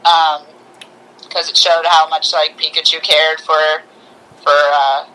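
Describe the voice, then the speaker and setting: A woman with a very bright, forward voice talks casually as a review co-host. She sounds informal and enthusiastic, feeling her way through her thoughts in a halting, conversational way. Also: deep voice, little pitch movement, low-quality recording. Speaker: podcast co-host reviewing films. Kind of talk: casual chat.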